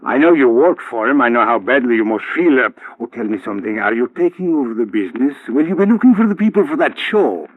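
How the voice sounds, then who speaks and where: An American actor playing a chatty, nosy show-business acquaintance with a hesitant, eager manner in a 1950s radio drama. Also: male voice, medium pitch, very animated, quick pace, hardly any pauses, dry recording. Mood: solicitous, prying. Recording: tinny, narrow-band vintage radio recording.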